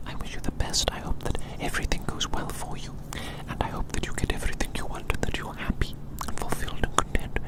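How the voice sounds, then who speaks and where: An ASMR performer whispering breathily and gently in a roleplay as a kindly, curious adventurer, often repeating phrases softly. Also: fast, hardly any pauses, low-quality recording. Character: kindly, curious adventurer fond of magical little creatures.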